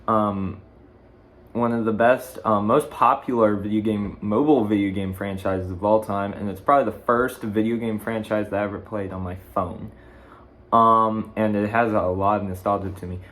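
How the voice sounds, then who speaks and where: Teenage male, fairly low pitch, casual vlog host, rambling, self-correcting, off-the-cuff chat. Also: steady tone, moderate pace, a bit bright. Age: teens, fifteen. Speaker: video blogger, host. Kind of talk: casual chat ranking video game movies.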